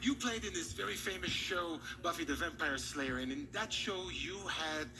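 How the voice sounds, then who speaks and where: A man's voice: fairly high pitch, moderate pace, music in the background, poor audio. A man from Israel performing as a stage magician, speaking showy patter to an audience and a guest.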